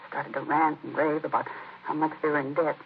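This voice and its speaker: An American actress playing a distraught, disapproving, defensive grieving sister in a 1950s radio crime drama, speaking in a hesitant, confiding way. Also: female voice, sits low, level tone, a little fast, gravelly. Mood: agitated, recounting. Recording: muffled, noisy vintage radio recording.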